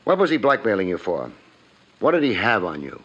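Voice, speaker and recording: An actor playing a tough, wry private detective in a 1950s radio drama, narrating and questioning in a clipped, insinuating way. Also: male voice, fairly low pitch, huge pitch swings, fairly quick, breathy edge, heavily acted. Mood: probing, accusing. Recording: muffled old radio recording.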